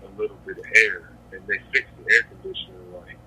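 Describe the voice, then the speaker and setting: Low-voiced man on a harsh prison phone. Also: fairly even intonation, a little fast, bright, forceful tone. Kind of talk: casual phone conversation. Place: correctional facility phone. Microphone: telephone handset. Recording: noisy, tinny prison phone line.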